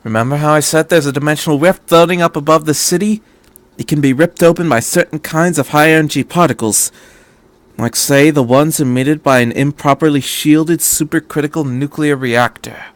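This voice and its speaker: A man's voice: a little high, moderate pace, no room sound, pitched above the performer's own voice, lightly acted. A man voicing an intense, eccentric alien scientist hero in a fan story, with a grim, urgent and emphatic manner. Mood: grim warning.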